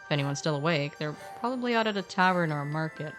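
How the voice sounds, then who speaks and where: A woman's voice: low-pitched, lively intonation, quick pace. A woman narrating a scripted fantasy story podcast, with conversational asides. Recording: background music under voice.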